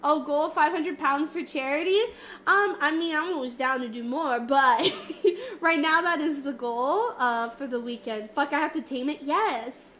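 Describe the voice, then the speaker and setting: A woman's voice: high voice, a little slow, a bit bright, a little hiss or hum. A woman streamer with a nasal, stuffy voice from a cold, chatting playfully with her viewers. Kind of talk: casual livestream chat with viewers. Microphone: desk mic, moving closer and farther.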